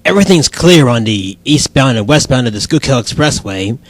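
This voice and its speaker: A man playing a measured, low-key radio weather and traffic reporter. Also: expressive, dry recording, lightly acted. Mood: low-key reporting.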